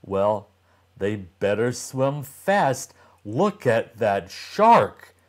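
A man's voice: fairly low pitch, very animated, very slow, a little mellow, full character voice. An older man voicing a sarcastic, complaining young boy from a children's storybook. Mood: excited. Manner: complaining, blurting.

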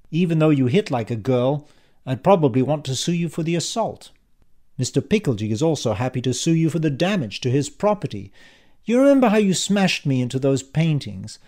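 A man voicing a cheeky male schemer in an audiobook, calm, confident and mockingly polite as he taunts. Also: medium pitch, close to an everyday voice. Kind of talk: audiobook character dialogue.

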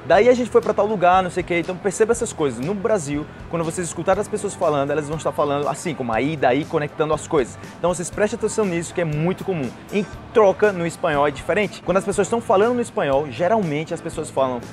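Young brazilian guy teaching language casually outside, kinda high voice, talks fast, gives example phrases. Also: soft background music. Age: young adult. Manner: explanatory, illustrates with acted example phrases. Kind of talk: conversational language lesson to camera. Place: outdoors on a patio by trees and a street. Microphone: lapel mic.

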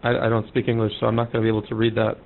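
Man, hesitant deadpan caller, dull band-limited audio, faint rumble. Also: racing. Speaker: prank caller. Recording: muffled call with clear rumbling noise.

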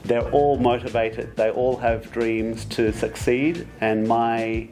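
Male teacher in his fifties, unhurried and warm-toned, interview style, soft music behind. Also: medium pitch, flat delivery. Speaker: English teacher. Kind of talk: relaxed interview. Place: classroom. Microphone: lapel.

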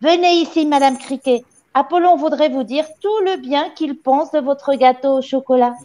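A woman's voice: high voice, normal speed, mellow, voice pushed up, close to an everyday voice. A woman reading a story as a domineering headmistress, mock-polite and commanding with a menacing false sweetness. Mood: loud, gloating.

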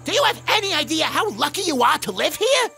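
A woman voicing an excitable, curious young male demon in a cartoon comic dub, theatrical and cheeky. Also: sits high, fairly quick, gravelly, exaggerated voice. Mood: awed, excited. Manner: theatrical, wonder-struck, cheeky. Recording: music behind the voice.

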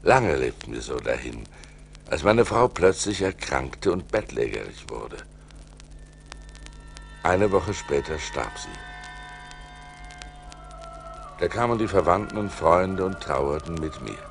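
An actor playing an adventurous seafarer who recounts his ordeals theatrically in a German radio play, moving between hushed and forceful delivery. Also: rumbling low, big pitch swings, measured pace, gravelly, over music, full character voice. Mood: grave, hushed. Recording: noisy vinyl record transfer.

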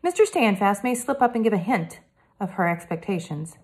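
A woman voicing an eager young girl detective, speaking brightly and earnestly. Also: medium pitch, sing-song, moderate pace, pitched above the performer's own voice.

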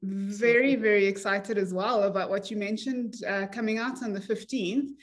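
A woman's voice: medium pitch, fairly even intonation, moderate pace. A young woman hosting a podcast interview over a video call, friendly and enthusiastic as she asks her questions. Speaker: podcast host.

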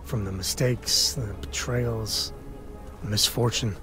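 A rugged space cowboy speaks intimately in a video game. He is earnest and a little bashful, halting as he goes at an unhurried pace. Music plays clearly behind him. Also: lowish voice, fairly even intonation. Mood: sincere, grateful. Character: rugged space cowboy, sincere, bashful, affectionate single father. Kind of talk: intimate scripted game dialogue.

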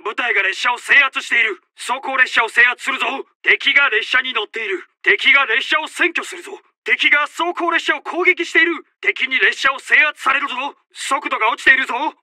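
High, forceful Japanese army officer. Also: male voice, a little fast, few pauses, no echo, exaggerated voice. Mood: urgent, reporting. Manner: forceful, clipped, barking orders. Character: commanding Japanese army officer, urgent and stern. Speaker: military announcer in a war video game. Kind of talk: shouted tactical voice lines.

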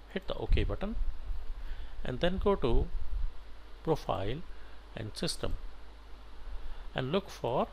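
A man teaching software as an instructor, talking through an on-screen tutorial step by step in halting, instructional phrases. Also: mid-range voice, gravelly, poor audio. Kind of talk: screen-share tutorial narration, unscripted step-by-step. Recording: noticeable background noise.

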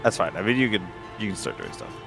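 Low-pitched man, very animated, casual gamer chat. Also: fast, vocal fry, over music. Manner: joking, playful. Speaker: gaming streamer. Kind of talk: casual co-op game commentary.

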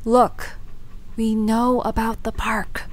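A woman's voice: on the high side, flat delivery, slow pace. An actress playing a curious, joking young woman with a casual way of talking, in an audio drama. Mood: skeptical. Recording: music behind the voice.

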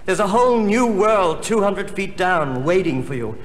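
Earnest fellow, lively high-ish voice. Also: male voice, normal speed. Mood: earnest, persuasive. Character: earnest, polite man, customer or smooth-talking salesman. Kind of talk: 1960s comedy record sketch acting. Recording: hissy, reverberant old comedy record before audience.

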